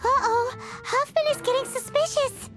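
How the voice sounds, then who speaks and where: A woman voicing a tiny, excitable, childlike fairy companion in a video game, squeaky and full of exclamations. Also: moderate pace, faint music behind. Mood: alarmed. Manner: exclamatory, refers to self in third person.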